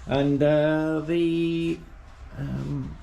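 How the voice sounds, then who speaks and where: A man's voice: on the high side, level tone, very slow, creaky, mellow. A man chatting casually in a travel vlog, joking about himself and laughing often. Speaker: travel vlogger. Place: hotel room and outdoors.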